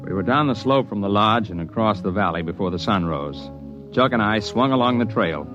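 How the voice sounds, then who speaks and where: Man narrating an old radio drama. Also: fairly low pitch. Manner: steady, measured storytelling. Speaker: narrator and lead actor. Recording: dull lo-fi old radio recording with music.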